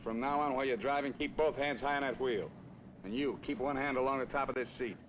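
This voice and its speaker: Male actor playing menacing captor, American, medium-pitched, curt and slangy, vintage crime movie, muffled audio. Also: brisk, natural voice. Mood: cold, menacing. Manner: curt barked commands, tough slangy grammar. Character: menacing, cold-blooded male gunman holding drivers captive. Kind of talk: 1950s American crime film acting. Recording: muffled old film soundtrack, noisy car rumble.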